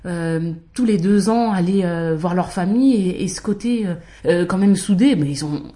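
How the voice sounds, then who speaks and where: Hesitant low-voiced woman guest. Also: moderate pace, mellow. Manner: hesitant, many fillers and restarts. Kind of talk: casual podcast conversation.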